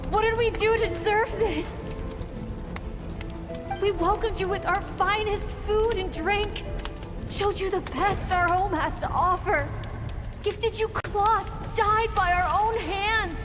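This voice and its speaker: Desperate villager, very high voice, slow, anguished pleading. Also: female voice, music in the background. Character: grieving village woman pleading with invaders.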